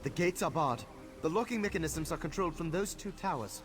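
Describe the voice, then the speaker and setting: A man's voice: on the high side, lively intonation, fairly quick, lightly acted. A man voicing a stern male fortress commander in a video game, terse and commanding. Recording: game audio over loud music.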